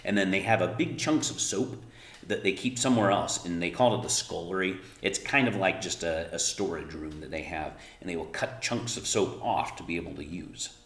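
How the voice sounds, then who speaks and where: A man's voice: deep voice, normal speed, fairly bright tone. A man reading a children's story aloud expressively and emphatically, adding casual explanations as he goes. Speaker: read-aloud narrator explaining words to children. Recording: roomy, echoing room sound.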